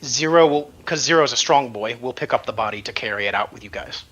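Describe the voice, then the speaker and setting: A man's voice: mid-range voice, fast, fairly bright tone. A man acting as game master, casually narrating and chatting through a tabletop game. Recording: slightly dull audio over music. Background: music.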